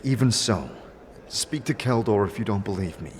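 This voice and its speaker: A man playing an earnest, tactful wanderer in an audio drama, measured and careful in his speech. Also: on the low side, expressive, a little hiss or hum, close to an everyday voice. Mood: urgent, pleading. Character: earnest, calm male wanderer, tactful and direct.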